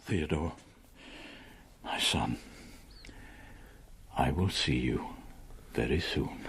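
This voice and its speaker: A man's voice: a bass voice, drawn-out words, gravelly, a bit bright, slightly rough, exaggerated voice. An actor playing an anguished elderly monk and father who pleads in formal, theatrical speech in a gothic radio drama. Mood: sorrowful.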